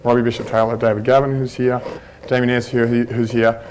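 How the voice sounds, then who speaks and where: Man, conference presenter, mid-pitched voice, easygoing and chatty, faint background hiss. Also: a little fast, rough recording. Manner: relaxed, chatty, frequent ums and restarts. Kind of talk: informal conference talk with anecdotes. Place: conference room at a workshop. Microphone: handheld microphone.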